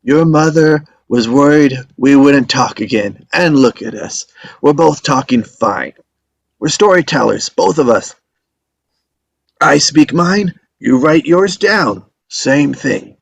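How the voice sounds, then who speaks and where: A man's voice: on the high side, lively intonation, takes it slowly, vocal fry, exaggerated voice. An actor in a script table read playing an ailing old father, a stubborn, folksy teller of tall tales who dodges with jokes. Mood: playful. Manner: folksy, evasive, joking storyteller.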